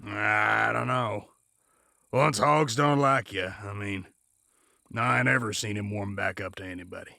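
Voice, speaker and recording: A man playing a gruff but easygoing, weary gunslinger in a Western audio drama, with a folksy, laconic drawl. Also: fairly low pitch, unhurried, pushed and bright, no room sound, studio quality, exaggerated voice. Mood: amused.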